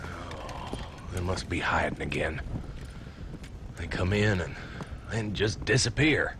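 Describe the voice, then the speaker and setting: An actor playing a tired frontier town marshal in a western game, speaking dryly with wry humor. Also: male voice, low voice, slow pace, noisy background.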